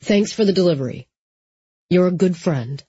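A woman voicing a weary, gentle, conflicted man in an audiobook, with a subdued manner. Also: lowish voice, expressive, normal speed, breathy edge, lo-fi sound. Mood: soft, earnest.

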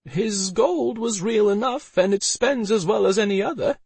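A man's voice: high voice, normal speed, vocal fry, darkish tone, husky, dry recording, voice pushed up, exaggerated voice. A man voicing a defensive, pleading woman innkeeper in an audiobook, with an insistent, strained delivery. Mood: angry, defiant.

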